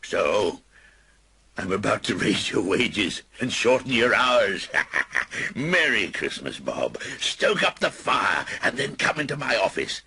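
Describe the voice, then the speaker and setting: A man reading a storybook aloud, voicing an old male miser who turns frantic and overjoyed, high and breathless. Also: huge pitch swings, slow pace, creaky, bright, forceful tone, a little hiss or hum, exaggerated voice. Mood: jolly. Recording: music playing behind.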